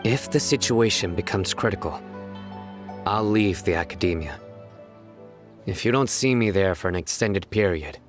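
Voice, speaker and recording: A wary, serious official from a video game, pitched on the low side. He speaks formally and with measured gravity. Prominent music plays behind the voice. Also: animated. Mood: grave, guarded. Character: stoic, wary male official and investigator. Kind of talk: scripted video game voice acting.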